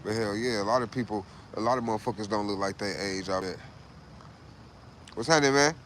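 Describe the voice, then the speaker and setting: A man's voice: fairly low pitch, brisk, fairly bright tone, a little hiss or hum. A man in his late thirties hosting a casual livestream, talking loosely and profanely, repeating himself and saying "bro" a lot. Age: 30s. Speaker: livestream host.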